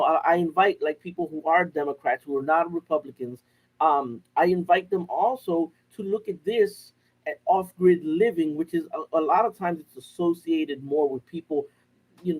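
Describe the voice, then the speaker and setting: Man from puerto rico, higher-pitched voice, rambling, lots of fillers, casual vlog talk. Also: poor audio. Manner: rambling, many fillers and restarts. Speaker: off-grid living vlogger.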